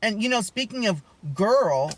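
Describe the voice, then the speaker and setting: High-voiced young guy vlogging, chatty and rambly, candid personal storytime. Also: expressive, unhurried. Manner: rambling, candid, lots of restarts and asides. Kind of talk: casual storytelling to camera.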